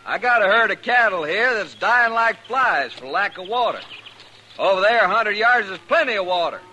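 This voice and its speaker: A desperate, angry trail boss. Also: male voice, high voice, expressive, normal speed, sharp tone, slight background noise, full character voice. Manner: angry, pleading. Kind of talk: 1950s radio drama acting.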